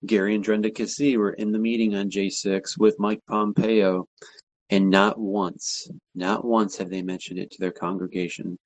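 A man's voice: on the low side, flat delivery, normal speed, no echo, low-quality recording. A man speaking as a talk show guest, making an earnest, pointed complaint. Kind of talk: casual talk show conversation.

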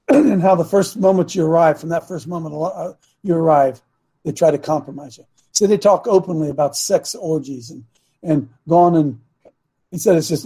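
An older man hosting a talk show, reading and commenting in a rambling, preachy way, his raspy voice phlegmy and broken by throat clearing. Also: fairly high pitch, mellow. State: phlegmy, coughing. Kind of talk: casual political commentary.

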